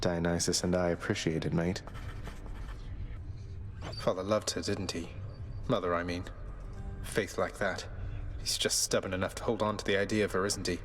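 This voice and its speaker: A man's voice: low voice, fairly even intonation, moderate pace, long pauses, soft background music, natural voice. A man voicing a wry, courteous young prince of the underworld in a video game, dry and understated. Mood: sly, playful, then reflective. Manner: dry, understated, casual politeness. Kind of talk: video game character acting.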